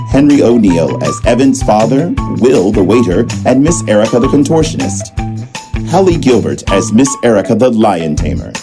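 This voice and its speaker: A man playing the narrator of a comedy audio drama, reading scripted narration and credits. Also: medium pitch. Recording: music bed behind voice.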